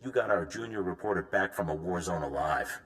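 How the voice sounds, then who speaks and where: An upbeat, businesslike news chief from a video game. The voice sits fairly low. He talks in a brisk, organised way. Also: expressive, a bit bright, slight background noise.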